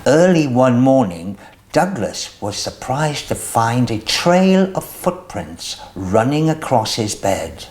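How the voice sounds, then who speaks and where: A storyteller reading a children's picture book aloud in an expressive, deliberate way as host. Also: medium pitch.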